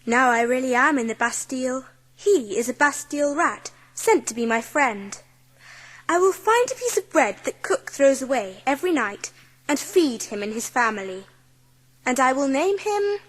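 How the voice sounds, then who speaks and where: A woman's voice: sits high, sing-song, moderate pace, pitched above the performer's own voice. A woman voicing an imaginative, earnest, well-bred young girl in a dramatised storybook. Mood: wistful, pleased. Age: child figure. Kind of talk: dramatised audiobook character acting.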